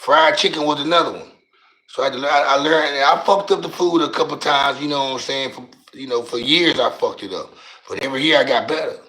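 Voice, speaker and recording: A middle-aged man with a raspy voice and a Louisiana accent, chatting on his livestream in slangy, rambling talk and often tripping over his words. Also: medium pitch, fast talker, sharp tone, roomy sound, low-quality recording. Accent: Louisiana Southern US. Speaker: livestream host. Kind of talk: casual livestream chat.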